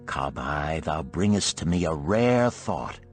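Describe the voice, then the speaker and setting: A man's voice: rumbling low, lively intonation, slow pace, soft background music, voice pushed down, heavily acted. A man voicing a weary, thoughtful chariot builder of ancient Babylon in a storybook parable, with brooding, archaic phrasing. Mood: hopeful, resolved.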